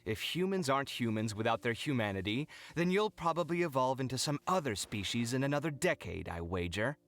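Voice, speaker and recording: An actor playing an expressive, easily flustered young man in a video game, theatrical and scolding but kind. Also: medium pitch, brisk. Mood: indignant. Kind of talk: scripted video game dialogue.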